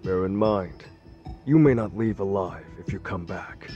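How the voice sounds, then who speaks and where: Guarded game community boss; dark tone, formal and cryptic delivery. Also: male voice, sits low, measured pace, muffled recording, faint music behind. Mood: stern. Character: guarded, stern male community leader. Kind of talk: English video game dub acting.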